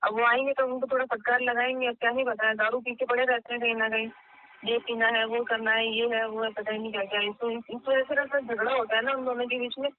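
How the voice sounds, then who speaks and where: A woman's voice: fairly high pitch, little pitch movement, fairly quick, slight background noise, close to an everyday voice. A woman playing a worried, apologetic tenant, speaking in a hesitant, placating way in a staged phone call drama. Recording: narrow tinny phone line.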